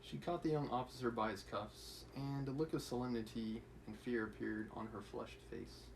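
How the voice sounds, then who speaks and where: A man's voice: mid-range voice, fairly even intonation, moderate pace, creaky, a little hiss or hum, poor audio. A man reading a classic novel aloud on a livestream, with casual asides to his chat. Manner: casual, reads with light character voices and laughs between asides. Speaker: livestream reader.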